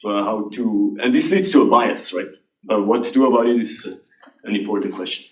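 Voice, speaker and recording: A man from Switzerland, answering audience questions about AI in a halting, restarting way. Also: on the low side. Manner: halting, restarts often, laughs lightly. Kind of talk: conference Q&A. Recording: roomy hall, voice from a distance.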